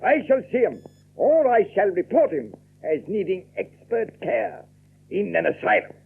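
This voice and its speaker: Villainous gentleman, high husky voice with sweeping intonation, commanding and impatient, tinny radio-drama sound. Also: sing-song, measured pace, full character voice. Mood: scornful, threatening. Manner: pompous, commanding, clipped. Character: overbearing, menacing, impatient wealthy male cousin. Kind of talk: theatrical audio drama acting. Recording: thin, band-limited old radio-drama recording.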